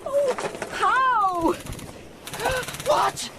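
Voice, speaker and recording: A woman's voice: extremely high pitch, animated, extremely slow, background noise, heavily acted. An actress in a radio drama playing a desperate, stubborn mother and doctor, urgent, emotional and defiant.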